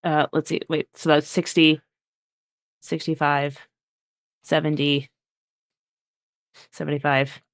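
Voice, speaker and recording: A player in a tabletop role-playing game, relaxed, asking questions and counting moves aloud. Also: mid-range voice, slow, pauses often, no room sound. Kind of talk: casual chat at a game table.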